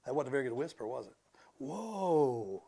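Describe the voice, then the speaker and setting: A man reading a picture book aloud to children in an expressive, emphatic way, with casual asides to his young listeners. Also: mid-range voice, very fast, creaky, husky. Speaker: school transportation director reading aloud to students.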